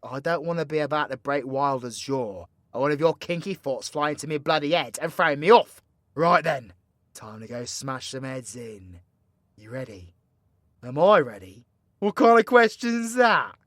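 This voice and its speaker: A man's voice: on the high side, animated, normal speed, fairly bright tone, no echo, studio quality. A man playing a cocky, foul-mouthed, playfully teasing supervillain mentor in an audio roleplay, with a British accent and a slangy, swaggering, theatrical delivery. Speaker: voice actor. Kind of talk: villain ASMR audio roleplay, addressing the listener.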